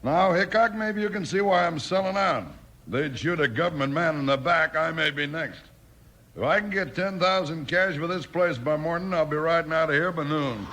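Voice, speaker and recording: An actor playing an uneasy American ranch owner in a 1950s radio western. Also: male voice, a little high, moderate pace, bright, forceful tone. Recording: old radio recording with faint noise.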